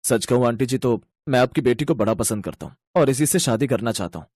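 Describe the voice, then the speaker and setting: A man's voice: medium pitch, animated, a little fast, darkish tone, no room sound, studio quality, close to an everyday voice. A man voicing a kind, devoted young bus driver in a moral story, sincere, polite and encouraging. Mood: sincere, reassuring.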